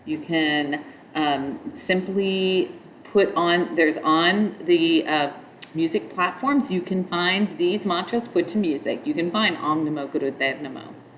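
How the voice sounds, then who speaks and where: A middle-aged woman with a nasal voice, teaching yoga in a relaxed, rambling way. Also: on the low side, slow, a little hiss or hum, rough recording. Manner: chants mantras in a nasal sung tone between explanations. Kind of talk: spoken yoga instruction.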